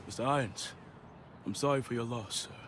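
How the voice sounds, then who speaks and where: A man's voice: medium pitch, brisk, fairly bright tone, a little hiss or hum, lightly acted. A man playing a stern, commanding Marine sergeant in a video game, with a formal, authoritative military delivery. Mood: subdued, deferential. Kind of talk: dramatic video game acting.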